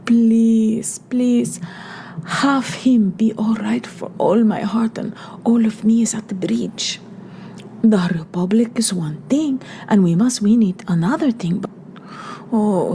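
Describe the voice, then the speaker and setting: A frightened young woman, voiced by the reader, pleads in a breathless half-whisper. Her voice is soft and mellow. It comes across as an audiobook reading with a faint hiss behind it. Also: medium pitch, gravelly, pitched above the performer's own voice.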